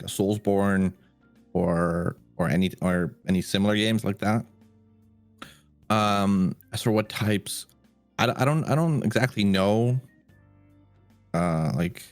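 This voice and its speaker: A man co-hosting a podcast in casual chat, measured and agreeable, laying out his points step by step. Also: low-pitched, no room sound. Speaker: podcast co-host.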